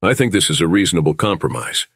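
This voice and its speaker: Starship captain, male actor: very low voice, composed, reasonable negotiator. Close, dry sound, audio drama delivery. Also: animated, fairly bright tone, husky, no room sound, heavily acted. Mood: reassuring. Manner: measured, reasonable, conciliatory.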